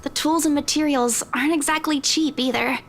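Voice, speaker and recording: High-voiced, defensive young woman, noisy background. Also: steady tone, a little fast. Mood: hurt, defensive. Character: defensive, downcast young woman estate agent, frustrated artist. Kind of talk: visual novel game voice acting.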